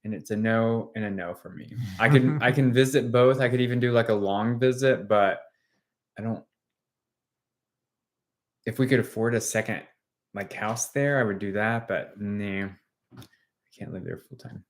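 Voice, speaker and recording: A man in his late thirties co-hosting a casual live chat, answering viewer questions in measured, plain sentences. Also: on the low side. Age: 30s. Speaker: co-host of a live chat stream. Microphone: phone front camera.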